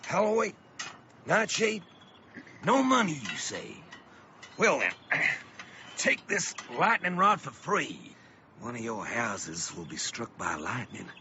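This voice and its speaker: Radio play, dull noisy audio: eccentric folksy peddler of storm doom, hugely animated, bright and forceful. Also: male voice, medium pitch, slow, long pauses, heavily acted. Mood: excited hawking. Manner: theatrical, swooping from hushed menace to shouts. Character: eccentric, ominous male lightning-rod salesman, folksy storm prophet. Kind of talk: radio drama acting.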